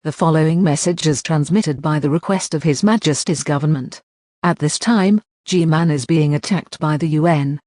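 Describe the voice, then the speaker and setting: An announcer with a mid-pitched voice reads a government alert. The delivery is formal and measured, a little synthetic, and recorded very dry. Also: a little mellow, no echo. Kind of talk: scripted emergency broadcast announcement.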